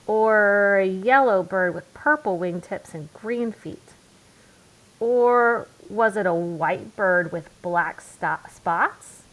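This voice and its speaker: A woman voicing a puzzled father bear in a storybook, asking questions in a deliberate, quizzical way. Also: medium pitch, fairly bright tone, poor audio.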